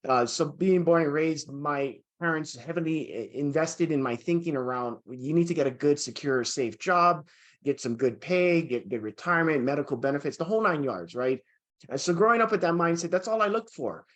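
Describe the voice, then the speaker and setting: A man in his thirties from Hawaii tells his story as an interview guest, talking earnestly and often repeating words as he restarts. His voice sits a bit high for a man. He speaks over a video call from a home office. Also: expressive, normal speed, fairly bright tone. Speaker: guest, real estate investor.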